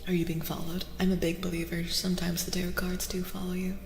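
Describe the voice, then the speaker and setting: A woman speaking softly in a casual ASMR style as a streamer giving a tarot reading, gentle and unhurried, often dropping into whispers. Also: lowish voice, monotone, vocal fry. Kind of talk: soft-spoken ASMR, casual live chat and reading. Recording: echoey reverb with soft music.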